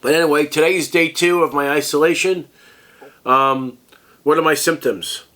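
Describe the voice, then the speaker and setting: A sick, congested man rambling through a casual vlog as a tech review host, halting and restarting often. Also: fairly high pitch, steady tone, pushed and bright.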